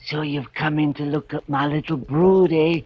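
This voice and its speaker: A man's voice: fairly high pitch, fairly even intonation, moderate pace, breathy edge. An actor playing an old bell-ringer in a 1940s radio drama, crooning fervently in folksy archaic dialect about bells he treats as his children. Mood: doting, proud. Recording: muffled vintage radio broadcast with music.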